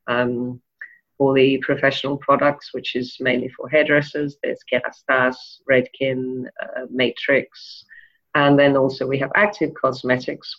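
A woman from Denmark giving thoughtful interview answers, pausing often with ums and uhs. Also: extremely low pitch, muffled recording. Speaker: interview guest, e-commerce manager.

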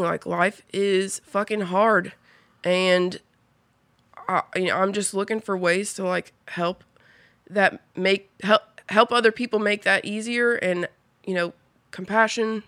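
Bright-voiced young woman rambling casually. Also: mid-range voice, measured pace, no room sound. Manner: rambling, full of "like" and "you know", swears casually. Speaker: podcast guest. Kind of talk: casual personal storytelling.

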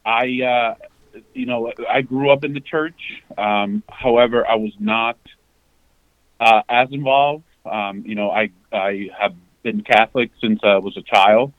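A man in his forties, originally from the Dominican Republic, speaks in a lowish voice, full of pauses, fillers and false starts. The sound is dry and dull, as over a remote call. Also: no room sound. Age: 40s. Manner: halting, many fillers and restarts. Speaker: guest. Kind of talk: candid conversational interview. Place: parked outside a sports venue.

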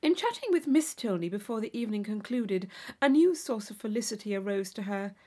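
A woman's voice: mid-range voice, lively intonation, a little fast, mellow. A woman reading scripted literary narration as an audiobook narrator.

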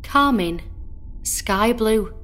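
An actress playing a placid female patient in a horror audio drama, telling her story in a calm, measured way. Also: a little high, heavily acted. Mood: calm, reflective.

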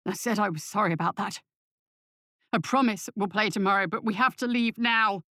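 Anxious storybook dad, lowered pitch, stammering and pleading played by a female narrator, dry recording. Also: fairly even intonation, fast talker, dry recording. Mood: tearful pleading. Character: anxious, pleading adult father.

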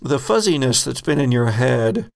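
A man narrating a tabletop horror game as game master, in slow, deliberate descriptions broken by chuckles. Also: mid-range voice, very animated, vocal fry, a little mellow.

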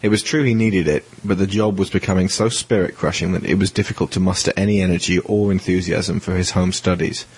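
Male narrator, deep and slightly husky, for scripted audio drama storytelling. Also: fairly even intonation, fairly quick, few pauses, a little mellow.

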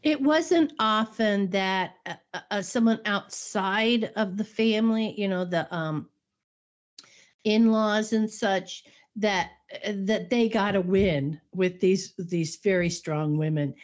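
Slow, measured woman storyteller. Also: mid-range voice. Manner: measured storyteller, sighs and trails off. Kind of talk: casual chat and film recap. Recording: dull lo-fi sound.

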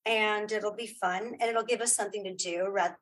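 A woman's voice: medium pitch, steady tone, moderate pace, a bit bright, low-quality recording. A woman speaking as a guest in a casual interview, chatty and informal, often restarting her sentences. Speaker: interview guest, audio drama creator.

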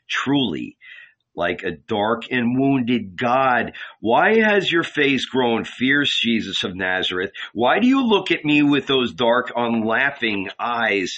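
Male reader doing a sly, teasing angel, slow and coaxing; muffled lo-fi recording. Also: medium pitch, sharp tone, exaggerated voice. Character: cunning tempting male angel, mocking. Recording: dull lo-fi recording.

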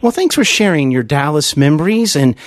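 A man hosting a conversational interview, polite and appreciative, with a slightly halting way of putting questions. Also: on the high side, very animated, no room sound, clean studio sound. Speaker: podcast host.

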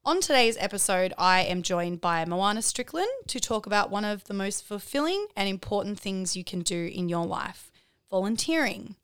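Woman, podcast host, smooth clear voice, dry sound. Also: medium pitch, animated, moderate pace, a bit bright, no echo. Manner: chatty, encouraging questions. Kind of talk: podcast interview hosting.

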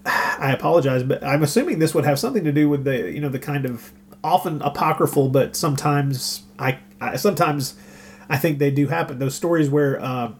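A man's voice: mid-range voice, a little hiss or hum. A middle-aged man co-hosting a casual podcast, talking in a wry, joking way with rambling asides. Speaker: podcast co-host. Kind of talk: casual conversational podcast chat.

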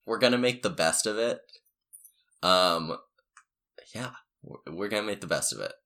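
A man's voice: fairly low pitch, expressive, moderate pace, bright, forceful tone. A man hosting a podcast in a casual, joking way, with a scratchy throat from the early hour. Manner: laid-back, joking, giggly. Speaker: podcast host.

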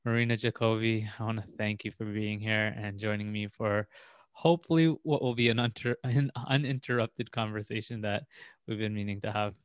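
A man hosting a spiritual podcast, speaking in a measured, earnest way with drawn-out phrases. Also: fairly low pitch, steady tone, clean tone. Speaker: podcast host. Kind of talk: spiritual podcast intro and interview.

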